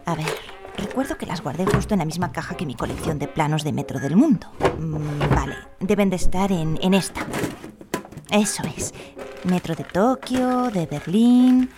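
A woman with a Spanish accent playing an excitable, chatty dreamer in a naturalistic comic radio fiction. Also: lowish voice, normal speed, loud music behind the voice, natural voice. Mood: musing, muttering. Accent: Spain. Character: Laura, excitable chatty woman who collects postcards and dreams of fame.

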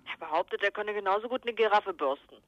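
An actress playing a pert, quick-witted detective's secretary on the telephone in a radio crime play, cool and teasing. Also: female voice, mid-range voice, lo-fi sound.

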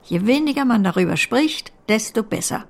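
Creaky-voiced, brisk older great-aunt. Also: female voice, on the high side, animated. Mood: brisk, matter-of-fact. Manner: dry, emphatic, theatrical. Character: brisk, blunt but loving older English great-aunt. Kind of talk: German radio play acting of a period novel.

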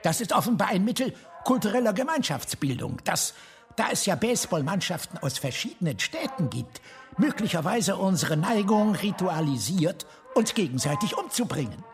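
An actor playing an opinionated, eccentric brother who philosophizes in an insistent, lecturing, articulate way in a German radio drama. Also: male voice, high-pitched, very animated, moderate pace, faint music behind.

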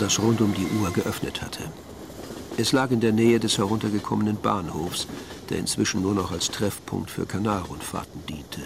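An actor as the dryly ironic storyteller, reading scripted literary narration in a German radio play. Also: male voice, on the low side, vocal fry, noisy background.

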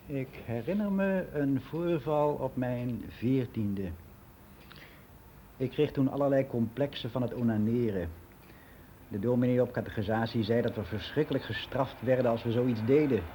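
Actor as mellow older man reminiscing, faint hiss. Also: medium pitch, lightly acted. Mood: reflective, confessional. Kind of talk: radio play monologue as a personal testimony.

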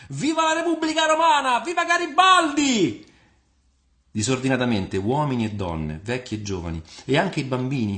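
A man reading a scripted literary historical tale as narrator, in a measured, dramatic storytelling manner. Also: lowish voice. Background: music under the voice.

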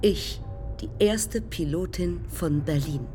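Radio drama: ambitious pioneer aviatrix, clipped lines, unhurried pace, faint music beneath. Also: female voice, lowish voice, mellow. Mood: proud. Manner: clipped, fragmentary declarations. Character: proud, ambitious young pioneer woman aviator, haunted by failure. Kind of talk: poetic radio play dialogue.

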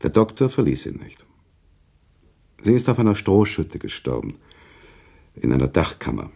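A deep, gravelly male author in a literary radio play. He is earnest and reproachful, phrasing formally with wide, expressive swings of pitch. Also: moderate pace, heavily acted. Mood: bitter, sombre. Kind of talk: German radio play dialogue, literary storytelling.

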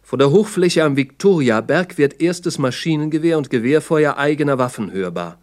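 A man's voice: fairly high pitch, lively intonation, moderate pace. A man narrating a 1960s radio play in a sober, factual, report-like style.

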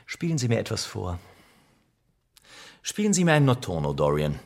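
An actor in a German radio drama playing a worldly, cynical, middle-aged aristocrat with an urbane, ironic, theatrical delivery. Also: male voice, fairly low pitch, wildly swooping pitch, normal speed, creaky, exaggerated voice. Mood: languid, admiring. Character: worldly, cynical aristocratic older friend, witty and languid.

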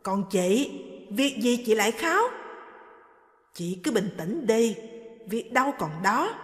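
A woman voicing a calm, practical young woman friend who reassures in a level-headed way, in a roomy space with long reverb. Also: on the high side, slow. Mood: reassuring.

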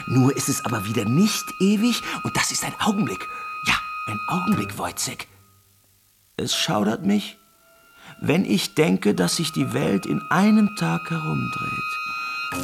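A pompous, melancholic army captain in a radio play, with a gravelly edge and a voice that swings widely. He moralizes slowly and anxiously, condescending as he repeats his words, over faint noise. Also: on the high side, exaggerated voice. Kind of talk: theatrical German radio drama acting.

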